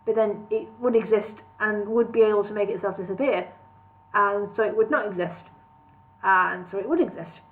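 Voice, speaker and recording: A woman reading a comic novel aloud on a livestream, playful, with chatty asides. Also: mid-range voice. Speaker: reader of a parody novel. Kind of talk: casual livestream audiobook reading. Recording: tinny phone-like sound.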